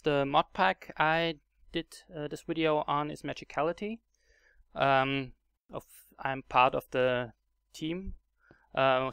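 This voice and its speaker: Man, German accent, gravelly voice, smooth tone, halting with ums, dry close mic. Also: mid-range voice, a bit bright, no room sound. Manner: hesitant, many fillers and restarts. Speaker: Minecraft mod video creator. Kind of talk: casual game tutorial narration.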